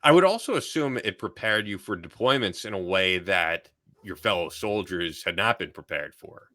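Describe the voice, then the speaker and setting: A man in his thirties hosting a podcast, asking questions in an upbeat, lively way. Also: low voice, normal speed, no room sound, studio quality. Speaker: podcast host. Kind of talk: interview conversation. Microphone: studio mic on video call.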